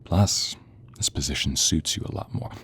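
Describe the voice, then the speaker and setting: A man voicing a sadistic, theatrical sorcerer villain in an audio roleplay, silky and menacing, drawing out words and slipping into breathy whispers. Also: a bass voice, normal speed, fairly bright tone, no room sound, pitched below the performer's own voice, heavily acted. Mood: smug, menacing. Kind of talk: audio roleplay acting addressed to the listener.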